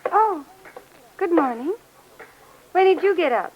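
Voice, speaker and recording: An actress playing a reserved wife in an old radio drama, speaking in a hesitant, guarded way. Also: female voice, extremely high pitch, lively intonation, slow, muffled recording, exaggerated voice. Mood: polite, hesitant. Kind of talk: 1940s-50s radio drama acting. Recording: noisy old radio recording.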